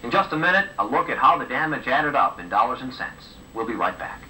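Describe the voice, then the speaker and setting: A man's voice: medium pitch, big pitch swings, fairly quick, over loud music. A man presenting the news, reading scripted broadcast narration. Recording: old home videotape of TV news, distant, hissy.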